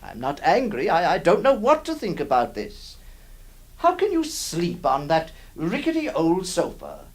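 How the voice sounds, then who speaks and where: An actor playing a middle-aged lawyer who narrates, stammering and theatrical, swinging between mock cheer and pleading. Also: male voice, fairly high pitch, huge pitch swings, unhurried, exaggerated voice. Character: middle-aged male lawyer, flustered, exasperated, kindly. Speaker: lawyer employing a scrivener, narrator. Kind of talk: 1960s radio drama acting.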